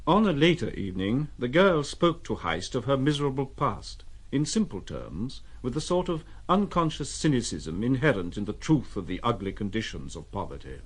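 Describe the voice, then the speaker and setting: An actor playing a reflective, worldly storyteller, narrating an audio drama from a script. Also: male voice, mid-range voice, big pitch swings, normal speed, few pauses, husky.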